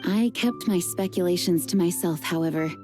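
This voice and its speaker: An actress playing a composed, dutiful adult woman knight who leads, speaking in a measured, earnest and formal way. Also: lowish voice, lightly acted. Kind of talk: video game voice acting. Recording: game music under the voice. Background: game music.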